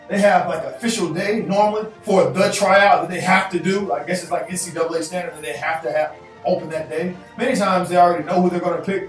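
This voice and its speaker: High-voiced man giving animated advice. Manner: animated, gestures with hands, repeats phrases for emphasis. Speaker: advice vlogger answering viewer emails. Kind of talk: casual advice talk to camera. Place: indoor room in front of a chalkboard backdrop. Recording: echoey room, voice a bit far off, faint music.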